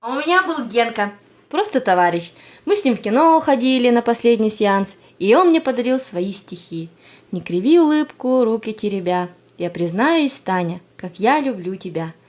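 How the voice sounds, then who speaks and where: A woman's voice: on the high side, very animated, normal speed, a little mellow. A woman acting a naive, lovestruck Soviet schoolgirl in an audio play, speaking and reciting in a tender, wistful manner. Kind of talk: dramatic monologue and verse recital.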